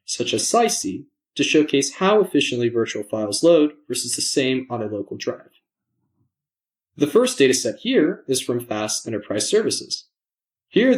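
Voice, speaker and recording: A man presenting a software demo in scripted narration, measured and explanatory, enunciating his words. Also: medium pitch.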